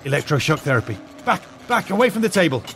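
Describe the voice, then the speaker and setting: A calm, authoritative teacher guiding his students through danger. His voice sits a little high and moves a lot in pitch. A faint hiss lies under it. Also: fast. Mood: steady. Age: middle-aged. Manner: steady, commanding, reasoning. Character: teacher Mr. Clark, retired archaeologist, calm leader. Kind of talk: audio drama acting.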